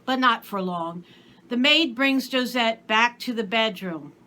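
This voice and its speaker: A woman with a bright, fairly high voice reads aloud as a storyteller. Her narration is scripted and expressive, in the manner of a storybook. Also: measured pace. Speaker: story reader.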